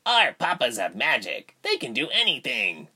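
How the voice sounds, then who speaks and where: Man playing a bright-voiced, insistent little boy. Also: medium pitch, wildly swooping pitch, moderate pace, husky, pitched above the performer's own voice, full character voice. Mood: confident.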